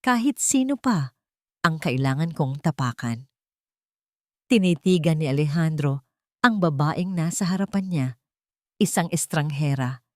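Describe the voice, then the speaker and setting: A woman narrating a scripted audio drama with steady, dramatic storytelling. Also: low voice, no echo, clean studio sound.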